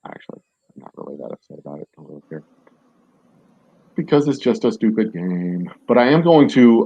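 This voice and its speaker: A man's voice: lowish voice, slightly rough, no room sound, highs cut off. A man casually commenting on a strategy game as he plays, rambling and self-mocking with many fillers. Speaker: gaming streamer. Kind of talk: casual let's-play commentary. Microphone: desk microphone.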